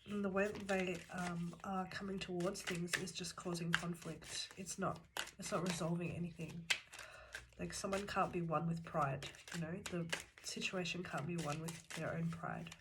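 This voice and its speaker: A woman's voice: lowish voice, moderate pace. A woman giving a tarot reading, speaking earnestly and directly to the viewer while nursing a cold that makes her voice come and go. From Australia. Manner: calm, earnest, talks straight to the viewer. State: has a cold, voice cutting in and out. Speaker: tarot reader. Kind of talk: pick-a-card tarot reading. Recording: loud background noise. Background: shuffling cards and clicks.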